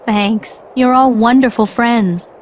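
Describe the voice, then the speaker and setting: A woman's voice: on the high side, steady tone, slow pace, a little hiss or hum. An actress playing a brave young woman warrior in a video game, earnest and determined. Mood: subdued, grateful. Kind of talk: animated video game voice acting.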